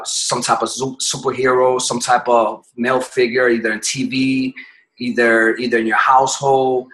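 Bright-voiced man from Puerto Rico. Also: mid-range voice, rough recording. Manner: reflective, slow to find words, often restarting phrases. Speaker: podcast guest. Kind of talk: telling his life story in an interview.

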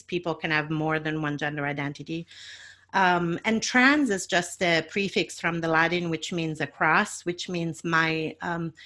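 A woman's voice: sits low, pushed and bright. Woman with a Mediterranean accent, explaining. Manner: patient, explains step by step with fillers. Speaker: guest expert. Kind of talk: explanatory interview answers.

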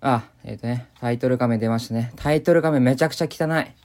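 A man giving improvised deadpan commentary as he plays a video game. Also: medium pitch, fast talker. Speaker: gamer doing commentary. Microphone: voice memo recording.

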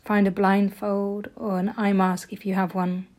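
A woman leading a guided meditation with soft, gentle, often breathy delivery, giving soothing instructions. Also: medium pitch, little pitch movement, unhurried.

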